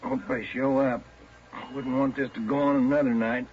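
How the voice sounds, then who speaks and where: A man's voice: mid-range voice, little pitch movement, moderate pace, lightly acted. An American man playing a grumbling police detective sidekick in a 1940s radio crime drama, with short, colloquial remarks. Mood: grumbling, uneasy. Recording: noisy, dull 1940s radio recording.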